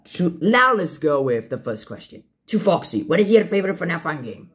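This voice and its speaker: A man voicing a jolly, boastful cartoon pirate fox with gravelly, halting, theatrical buccaneer talk. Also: fairly high pitch, sing-song. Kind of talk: in-character fan Q&A voice lines.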